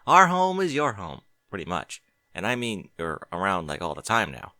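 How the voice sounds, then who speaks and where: Young male storybook dragon played by a man: chatty, questioning, slightly unsure, animated pitch, dry close sound. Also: normal speed, dry recording, heavily acted. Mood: casual, teasing. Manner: colloquial, hesitant, questioning. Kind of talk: audiobook character dialogue.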